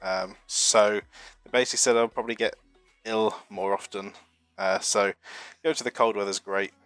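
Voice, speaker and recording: A man's voice: fairly low pitch, steady tone, normal speed, fairly bright tone, clean tone, no room sound, faint music behind. A young man from the UK hosting a live stream, talking in a chatty, rambling, self-deprecating way while getting over a flu. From United Kingdom. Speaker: streamer hosting a marble racing channel. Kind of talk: casual live-stream chat reading chat messages.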